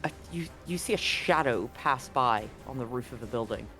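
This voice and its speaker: A man's voice: mid-range voice, lively intonation, normal speed, sharp tone, soft background music. A man acting as game master, narrating and chatting in an easygoing, informal way during a live tabletop game.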